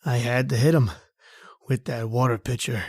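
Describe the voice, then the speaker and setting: A man's voice: medium pitch, steady tone, moderate pace, darkish tone, close to an everyday voice. An actor playing a folksy, sardonic tough guy in an old-time radio thriller, with slangy speech. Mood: grim. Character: John Taylor, folksy sardonic tough guy, thought a killer.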